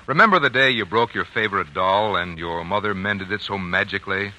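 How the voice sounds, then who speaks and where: A man's voice: sits low, fairly quick, pushed and bright, low-quality recording. A man working as a radio announcer, reading scripted 1940s commercial copy in a polished, earnest way. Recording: old radio broadcast, faint music behind.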